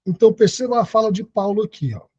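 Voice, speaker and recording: A man in his thirties teaching an online Bible lesson, reading verses aloud and then unpacking them. His voice sits fairly high, with a mellow, husky tone. The audio is dry and dull, like a lo-fi video call. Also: animated, fast talker, no room sound. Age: 30s. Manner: explanatory, reads scripture aloud and comments.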